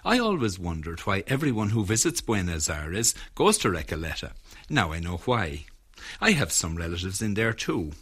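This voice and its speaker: A man reading a radio documentary script in a low, husky voice. His intonation rises and falls in a lively way. He tells the story at a measured pace. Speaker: documentary presenter.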